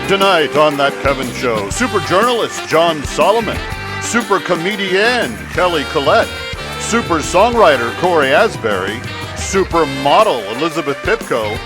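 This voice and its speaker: A man announcing a show with a theatrical, showy scripted introduction and drawn-out words. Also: fairly high pitch, very animated. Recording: loud music bed over voice. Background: loud music.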